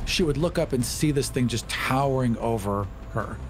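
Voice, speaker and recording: Man playing a tabletop game, medium pitch. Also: lively intonation, moderate pace. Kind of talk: casual game-table chat, describing character actions. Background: music under the voice.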